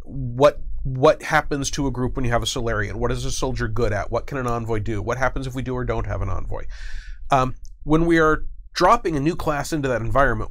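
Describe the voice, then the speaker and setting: A man's voice: mid-range voice, fairly bright tone, no echo. A man speaking as an interviewed game designer, explaining things casually and methodically, point by point with rhetorical questions. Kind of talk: casual explanatory studio discussion.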